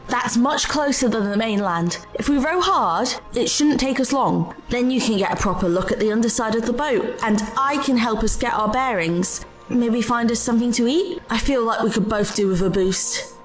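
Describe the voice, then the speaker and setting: Woman acting a plucky higher-voiced adventurer. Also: normal speed, soft background music, close to an everyday voice. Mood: urgent, practical. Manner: earnest, inquisitive. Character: plucky, determined, practical young woman adventurer. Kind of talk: audio drama acting.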